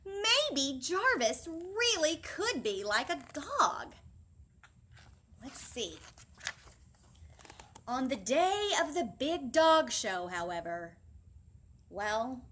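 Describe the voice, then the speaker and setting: A woman hosting a children's storytime, expressive and sing-song, speaking directly to young listeners. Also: high voice, very slow, long pauses, a little hiss or hum. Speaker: children's librarian, storytime host. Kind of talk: animated picture-book reading and instruction for young children. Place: library room, seated indoors.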